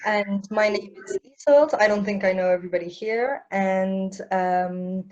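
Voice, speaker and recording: A woman's voice: medium pitch, a little slow, a little mellow, lo-fi sound. Young woman presenting over a video call. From French and Irish background. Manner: thoughtful, many fillers and restarts. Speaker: seminar co-host. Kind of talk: online seminar presentation.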